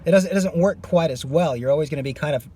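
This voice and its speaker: A man's voice: fairly high pitch, fast talker, dark tone, husky. A man teaching a singing lesson. He is losing his voice and stops his explanation to demonstrate screams. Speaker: vocal coach.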